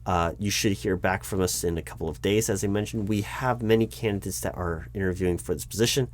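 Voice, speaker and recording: Guy doing a job interview roleplay, lowish voice, dry and deliberate, lots of ums. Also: slight background noise. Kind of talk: scripted ASMR roleplay.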